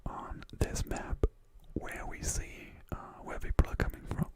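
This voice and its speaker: An ASMR livestream host whispering softly in a rambling, relaxed way while reading viewer messages aloud from a room at home. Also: measured pace.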